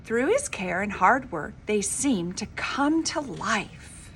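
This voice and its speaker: A woman reading a children's story aloud in an expressive, dramatic, emphatic storybook manner. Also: mid-range voice, slow, slight background noise. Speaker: reader of a children's book.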